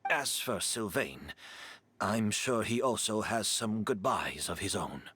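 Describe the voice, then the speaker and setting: Remorseful frail game perfumer, earnest, animated inflection. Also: male voice, lowish voice, takes it slowly, noisy background. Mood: resigned. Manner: calm, confessing, earnest.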